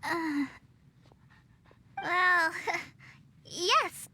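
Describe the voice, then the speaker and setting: A woman's voice: extremely high pitch, drawn-out words, pushed and bright, slight background noise, heavily acted. A woman voicing a shy young female forest ranger trainee in a video game, timid and hesitant with many fillers. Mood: nervous. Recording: faint game music behind.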